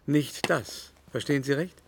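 An actor playing a gossipy, snobbish aristocratic baron in a radio play, with affected, ornate and condescending phrasing. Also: male voice, medium pitch, normal speed, gravelly, noisy background, natural voice. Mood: defensive, coy. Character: pompous, coy, gossipy aristocratic baron, a society snob.

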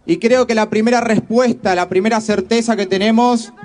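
A woman's voice: a little high, steady tone, moderate pace, a little mellow. A woman with an Argentine Spanish accent, giving an emphatic speech at a street rally. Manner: emphatic, rousing. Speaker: activist speaker at a rally.

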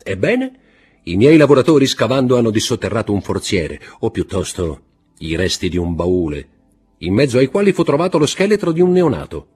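A man voicing a commanding nobleman count in an audiobook reading, speaking forcefully and dramatically. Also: mid-range voice, sing-song, brisk, husky, voice pushed up. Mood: pointed.

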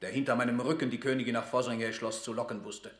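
A man's voice: medium pitch, fairly even intonation, racing, a bit bright, reverberant, lightly acted. A man playing a cold, sarcastic statesman in a classical radio drama, with formal, commanding and cutting declamation. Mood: bitter sarcasm. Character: stern, cold, sarcastic male royal statesman.

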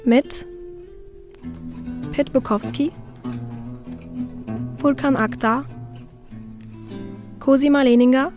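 A woman's voice: sits high, fairly even intonation, takes it slowly, pauses often, darkish tone. A woman announcer reading scripted radio drama credits. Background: guitar music.

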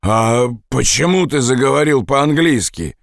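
A man voicing a surly, drunk man in an audiobook, calling out loudly and mockingly. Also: medium pitch, lively intonation, a little slow, gravelly, no echo, clean studio sound, voice pushed up. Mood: suspicious. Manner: loud, calling out.

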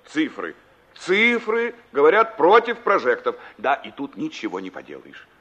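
A man's voice: fairly high pitch, wildly swooping pitch, normal speed, a bit bright, slightly rough, full character voice. An actor playing a bossy, mocking man from a village cooperative in a Soviet radio drama. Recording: muffled 1960s radio play recording.

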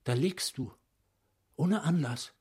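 An actor in a radio play playing a philosophical, probing psychiatrist with an eloquent, rhetorical manner. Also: male voice, fairly high pitch, slow, a little mellow, slightly rough, heavily acted. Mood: musing, intense. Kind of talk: radio drama acting, literary monologue.

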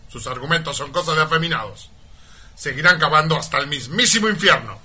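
An actor playing a pompous, callous, imperious mine owner in a comic radio play. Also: male voice, a little high, expressive, a little fast, pushed and bright, slightly rough, heavily acted. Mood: stubborn. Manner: imperious, dismissive, blustering.

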